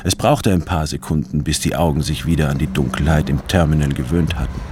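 An actor playing a disoriented, brooding man who narrates a literary radio drama in an introspective, measured way. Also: extremely low pitch, darkish tone, breathy edge, full character voice. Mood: brooding, reflective. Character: disoriented, brooding male first-person narrator adrift in a crowd. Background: prominent music.

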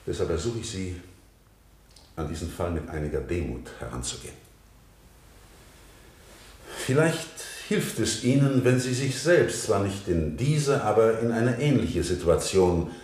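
Male defense lawyer, radio drama: low voice, sweeping solemn rhetoric, roomy acoustic. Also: darkish tone. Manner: rhetorical, solemn, emphatic, clearly articulated. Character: eloquent, earnest male defense lawyer pleading in court. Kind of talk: 1970 German radio play acting, courtroom oratory. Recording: roomy studio courtroom echo of 1970 radio.

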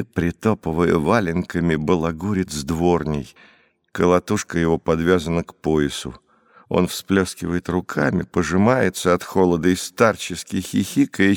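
A man with a low voice narrates classic literary prose. His delivery is measured and expressive, and the recording is dry, as in a studio. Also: dry recording. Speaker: audiobook narrator.

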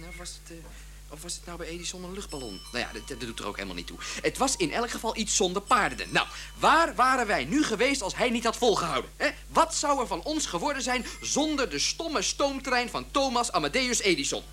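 A man acting in a comic radio play as a muddled, placating friend, speaking haltingly with a Netherlands Dutch accent. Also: sits high, sing-song, pushed and bright, exaggerated voice. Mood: earnest, muddled. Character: well-meaning, muddled male friend, eager to placate. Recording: faint birdsong noise behind.

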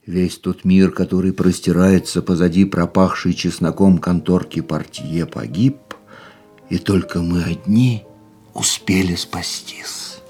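Low-voiced romantic racing driver, intimate. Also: male voice, steady tone, a little slow, dark tone, husky, faint music behind, exaggerated voice. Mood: tender, intimate. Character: ardent romantic male racing driver, reflective and tender. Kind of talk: radio play acting.

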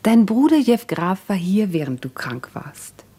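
An actress playing a devoted young wife in a radio play, earnest and coaxing. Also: female voice, mid-range voice, sing-song, measured pace, mellow, breathy edge. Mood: gentle, reassuring. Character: devoted young Russian wife, pleading and practical.

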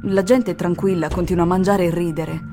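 Radio theatre: actress as sober female narrator, lowish register, reportorial detachment, music underneath. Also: fairly even intonation, fairly quick, lightly acted. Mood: sober, watchful. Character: sober female narrator describing war scenes.

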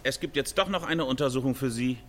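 A man's voice: medium pitch, fast talker, lightly acted. Male actor as a reassuring hospital staffer, mid-range voice, plain radio drama delivery, faint noise. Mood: matter-of-fact. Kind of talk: naturalistic radio drama acting.

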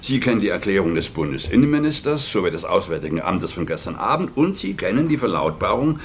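A man's voice: deep voice, sing-song, quick pace, slight background noise. An actor playing a government spokesman at a press conference who answers reporters formally and with sarcasm. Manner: formal, curt, sardonic with reporters. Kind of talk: radio drama acting.

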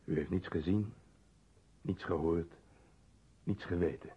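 Very husky, deep, solemn brother-hero. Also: male voice, unhurried, exaggerated voice. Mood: grave. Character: grave, commanding male member of heroic brotherhood. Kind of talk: radio drama acting. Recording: muffled radio drama recording.

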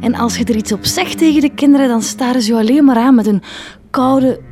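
Actress as weary, high-voiced schoolteacher. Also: female voice, fairly quick, mellow, lightly acted. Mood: weary, sad. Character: weary, melancholy female primary schoolteacher. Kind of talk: radio drama acting. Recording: music behind the voice.

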